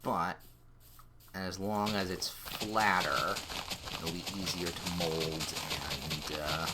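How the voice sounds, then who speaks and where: A man's voice: sits low, fairly even intonation, slow. A man with a nasal, slightly whiny voice, giving rambling, self-deprecating commentary as he plays a game on stream. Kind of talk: casual gameplay commentary. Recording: loud noise with keyboard clicks.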